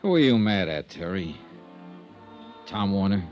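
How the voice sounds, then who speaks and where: Casting: cool, persistent private eye, animated delivery, clipped and probing, dull old-time radio recording. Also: male voice, deep voice, unhurried, soft background music. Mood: pressing. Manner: clipped, probing questioning and dry first-person narration. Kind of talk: 1950s radio detective drama acting and narration.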